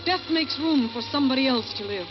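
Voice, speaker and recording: A woman's voice: sits high, animated, brisk. A muffled old radio recording with prominent music carries a desperate, embittered woman. She narrates her murderous thoughts in a brooding, dramatic confession, in the manner of a 1940s suspense broadcast. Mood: brooding, intense. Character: bitter, desperate failed actress secretly plotting murder. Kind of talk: 1940s radio thriller acting, first-person narration.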